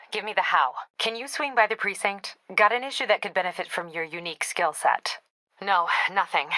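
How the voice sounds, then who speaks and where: Forceful, no-nonsense police captain. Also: female voice, medium pitch, dry recording, rough recording. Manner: clipped, businesslike, dryly wry. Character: no-nonsense female police captain, brisk, dry, weary ally. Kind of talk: video game voice acting, radio dispatch briefings.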